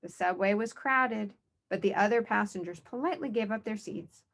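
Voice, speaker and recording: Female children's author, mid-pitched voice, storybook read-aloud narration. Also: moderate pace. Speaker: children's author reading own book.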